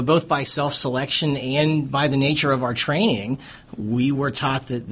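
A middle-aged man with an American accent and a husky, slightly rough voice at a medium pitch. He co-hosts a radio talk show as a physician, speaking in fluent, articulate, conversational sentences. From Pittsburgh, USA.

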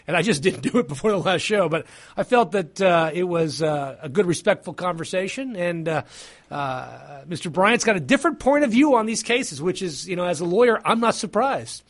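A man hosting a talk radio show, speaking haltingly with many fillers and restarts in an easygoing way. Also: high voice, lively intonation.

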